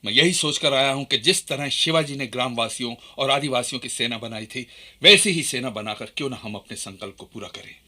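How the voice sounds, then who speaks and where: An actor playing a fervent Indian freedom fighter in a radio play, with an impassioned, declamatory delivery. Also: male voice, medium pitch, fairly quick, sharp tone. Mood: earnest, resolute.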